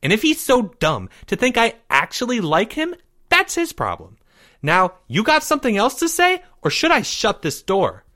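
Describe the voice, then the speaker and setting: A man's voice: on the low side, normal speed, hardly any pauses, natural voice. A man voicing a sly, scornful schoolgirl in a storybook, cool and mocking. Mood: snappish.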